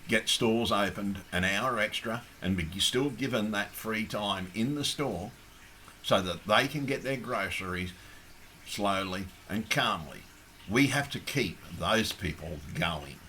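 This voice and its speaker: A croaky, hoarse Australian man making an impassioned, blunt appeal straight to camera, swearing heavily as he rants. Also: fairly low pitch, animated, measured pace, sharp tone, slight background noise. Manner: blunt, ranting, heavy swearing. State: hoarse, losing his voice. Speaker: activist.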